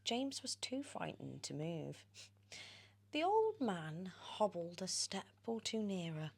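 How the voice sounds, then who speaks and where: A British woman reading a storybook in ASMR style, drifting between soft whispers and expressive, animated speech. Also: lowish voice, slow pace, vocal fry, breathy edge. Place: on a sofa indoors.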